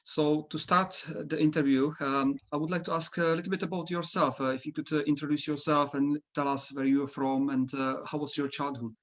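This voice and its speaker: A man's voice: a little high, fairly even intonation, fairly quick, hardly any pauses, fairly bright tone. Guy interviewing someone, polite, lots of ums and uhs. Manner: polite, hesitant with many fillers. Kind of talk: interview questions.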